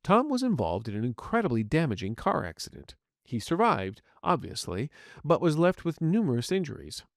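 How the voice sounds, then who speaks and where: A man's voice: a little high, huge pitch swings, moderate pace, no room sound, studio quality. A man voicing a polite, earnest artificial intelligence medical assistant in an audio story, speaking formally and courteously. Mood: clinical, explanatory. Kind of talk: scripted audiobook narration in character.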